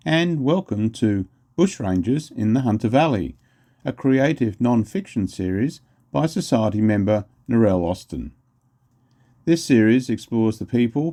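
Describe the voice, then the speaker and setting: A man's voice: lowish voice, dark tone. A man reading a scripted introduction as a podcast announcer.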